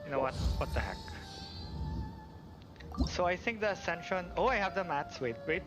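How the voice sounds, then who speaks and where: A man with a slightly high voice hosts a casual game livestream. He thinks aloud as he plays, often hesitant about his choices. Music plays clearly behind him. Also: animated, moderate pace. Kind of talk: casual live gameplay commentary.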